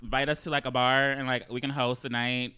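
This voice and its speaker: A young man co-hosting a podcast, teasing his partner in quick banter. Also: mid-range voice, little pitch movement, bright, forceful tone. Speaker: podcast co-host. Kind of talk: casual chat.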